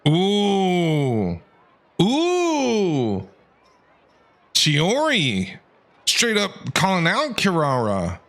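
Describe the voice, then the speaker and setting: A man reacting casually as a video game streamer, excitable and slangy. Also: sits high, extremely slow, pauses often. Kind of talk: casual live reaction commentary.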